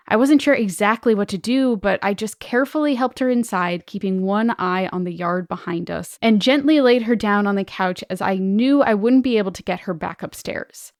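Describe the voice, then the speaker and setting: A woman's voice: medium pitch, dry recording, studio quality. A woman co-hosting a podcast, reading listener stories aloud expressively with casual asides.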